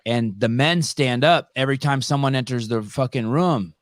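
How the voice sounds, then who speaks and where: A man from California hosting a podcast in casual conversation, telling animated stories and swearing freely. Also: medium pitch, moderate pace, dry recording. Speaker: podcast host.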